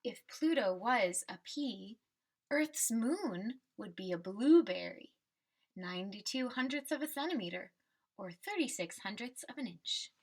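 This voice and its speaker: High, husky woman reading to children. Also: animated. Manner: deliberate, explanatory, speaking to children. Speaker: teacher reading aloud. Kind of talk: children's picture book read-aloud and simple lesson.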